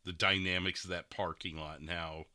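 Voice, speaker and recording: A man's voice: deep voice, level tone, normal speed, pushed and bright, dry recording. A man hosting a gaming podcast, chatting casually as a streamer about roleplay. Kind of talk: casual podcast chat over replayed gameplay.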